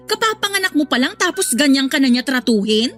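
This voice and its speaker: A woman's voice: high-pitched, big pitch swings, brisk, sharp tone, faint music behind, heavily acted. A young woman playing a protective, blunt and emphatic best friend in an audio drama. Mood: indignant.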